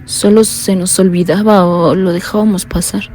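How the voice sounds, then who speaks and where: An actress playing a blind young woman calling in to tell a frightening story, talking in a low, earnest, uneasy way. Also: steady tone, normal speed, darkish tone, soft background music, natural voice. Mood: anxious. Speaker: caller. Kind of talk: radio drama acting.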